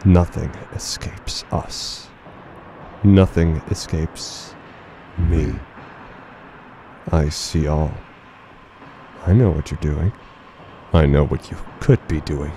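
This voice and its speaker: Man acting very deep, unhinged blinded announcer. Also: warm tone, exaggerated voice. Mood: menacing, cold. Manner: theatrical, halting, formal, brooding. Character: unhinged, wounded, blinded male announcer of a dystopia. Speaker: voice actor playing a radio announcer. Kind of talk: dramatic monologue in an audio horror drama. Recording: noisy rumbling ambient bed under the voice.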